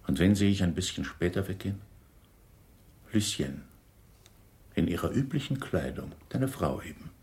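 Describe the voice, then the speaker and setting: Deep voiced middle-aged uncle in german radio play, grave, pleading, dramatic confession type. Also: male voice, a little mellow. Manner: deliberate, dramatic, insistent storytelling. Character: confessing older uncle, grave, self-justifying yet pleading.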